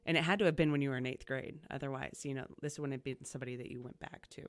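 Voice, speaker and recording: A woman's voice: a bass voice, fairly even intonation, rapid-fire, no room sound. A woman hosting a casual coaching podcast, chatty and upbeat as she asks her guest questions. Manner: chatty and upbeat, many fillers and restarts. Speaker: podcast host, community college coach. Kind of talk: casual interview conversation.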